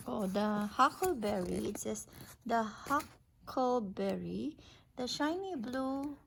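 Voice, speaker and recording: A woman's voice: a little high, animated, slow, gravelly, fairly bright tone, a little hiss or hum, rough recording. A woman reading an alphabet picture book aloud as a mother to a child, naming words one by one in an instructive way with casual asides.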